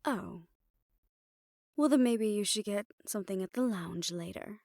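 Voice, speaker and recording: A woman's voice: medium pitch, a little slow, darkish tone, dry recording, studio quality, natural voice. A woman playing a poised, imperious hotel manager in an audio roleplay, speaking formally and with polite condescension. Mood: pointedly polite. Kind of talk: scripted audio roleplay addressed to the listener.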